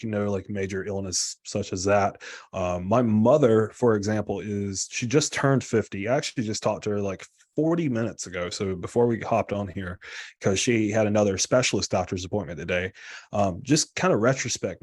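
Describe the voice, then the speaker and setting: A man's voice: fairly low pitch, a little mellow. A man, a guest coach talking casually and rambling with lots of fillers over a video call. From South Carolina, US. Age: 30s. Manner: rambling, filler-heavy, chatty and candid. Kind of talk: casual talk on a live online group lesson.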